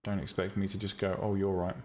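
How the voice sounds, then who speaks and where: A man's voice: low voice, fast. A man live-streaming, chatting casually and bluntly with his viewers about making 3D tutorials, with lots of ums and restarts. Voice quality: calm, relaxing voice. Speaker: streamer teaching 3D rendering and visual novel making.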